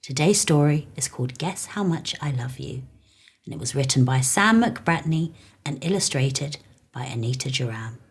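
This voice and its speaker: Very low, breathy woman storyteller. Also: animated, normal speed. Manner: soothing, soft-spoken, low-stimulating. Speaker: children's storytime host. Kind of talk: gentle picture-book narration.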